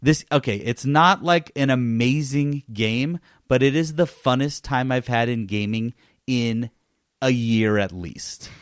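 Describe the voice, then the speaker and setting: A man's voice: mid-range voice, unhurried, no room sound. Enthusiastic man chatting about games, dry close sound. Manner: enthusiastic, emphatic storytelling. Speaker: podcast host. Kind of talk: casual gaming podcast chat.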